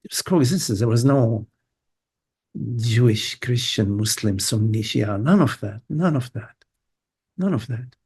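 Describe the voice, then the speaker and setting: An older man from Lebanon, a spiritual teacher giving a meandering, halting satsang talk over a video call. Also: mid-range voice, mellow, husky. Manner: meandering, halting, many fillers.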